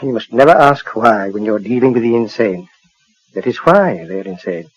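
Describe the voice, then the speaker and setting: An actor playing a genial, courteous British asylum doctor, faintly patronising, in 1940s radio acting style. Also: male voice, medium pitch, expressive, moderate pace, slightly rough, no echo. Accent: British English. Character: genial, matter-of-fact male asylum doctor. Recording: dull, lo-fi 1940s radio recording.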